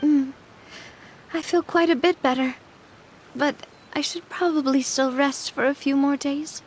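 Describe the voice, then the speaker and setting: An actress playing a kind, resolute young woman who leads an organization, earnest and measured, in a dramatic video game. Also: sits high, steady tone, a little hiss or hum. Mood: vulnerable, tired.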